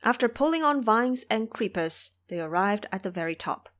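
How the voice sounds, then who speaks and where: A woman's voice: fairly high pitch, lively intonation, moderate pace. A woman narrator telling a scripted story in the style of an audio drama.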